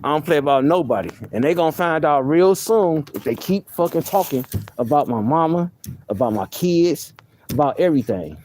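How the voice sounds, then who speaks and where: A man with a soft voice, venting on a live stream in a heated, profane way, often repeating himself. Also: on the high side, lively intonation, moderate pace, a little hiss or hum. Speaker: livestreamer.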